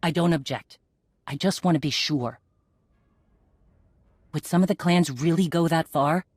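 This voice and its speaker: A woman's voice: low-pitched, measured pace, darkish tone, breathy edge, no room sound, studio quality. An actress playing a tough, blunt woman who leads a band of warriors in a video game. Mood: gruff, wary. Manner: blunt, commanding.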